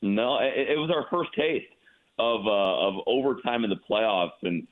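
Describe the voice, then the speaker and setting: Male sportscaster guest, lowish voice, halting and emphatic, thin phone-band audio. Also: phone-quality sound. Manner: halting, repeats words for emphasis. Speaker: radio play-by-play announcer, guest. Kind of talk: casual sports radio interview commentary.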